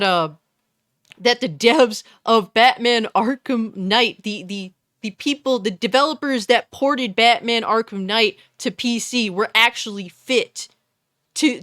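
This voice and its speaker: A woman with a fairly deep voice giving a blunt, scornful, profane commentary rant. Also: a bit bright, no room sound, clean studio sound. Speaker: commentary YouTuber. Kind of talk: ranting commentary.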